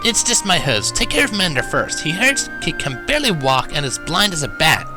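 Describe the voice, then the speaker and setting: A man's voice: on the high side, big pitch swings, normal speed, over music, pitched above the performer's own voice. A man voicing a brash, teasing young female pony in a story reading. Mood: gentle request.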